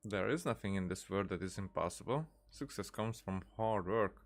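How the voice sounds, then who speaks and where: A man giving casual playthrough commentary in a halting, musing way, close on the microphone. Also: lowish voice, background noise. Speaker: gamer, let's player. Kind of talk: casual game playthrough commentary, reading dialogue choices.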